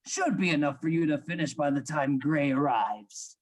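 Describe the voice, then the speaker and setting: An actor playing a stern, secretive anatomy lecturer in a radio play, formal and instructing. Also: male voice, on the high side, moderate pace, natural voice. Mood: grave, secretive.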